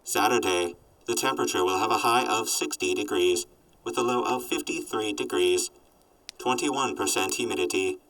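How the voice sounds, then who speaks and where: A man voicing a sardonic robot companion in an audio drama, reporting in a formal, clipped deadpan. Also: lowish voice, normal speed, distant mic, lightly acted, low-quality recording. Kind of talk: post-apocalyptic audio drama acting.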